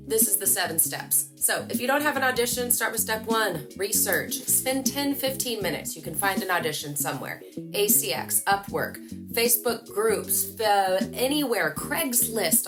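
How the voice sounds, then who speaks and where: A woman, giving a lively, chatty voice-over tutorial to camera from a small room at home. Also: fairly high pitch. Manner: lively, chatty, animated hand gestures. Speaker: voice-over coach.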